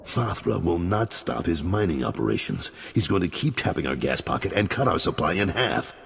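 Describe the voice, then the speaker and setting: An actor playing a gruff crime boss turned businessman in a video game, sardonic and world-weary. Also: big pitch swings, normal speed, fairly bright tone, soft background music, full character voice. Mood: irritated. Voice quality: deep gravelly voice. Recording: reverberant game mix.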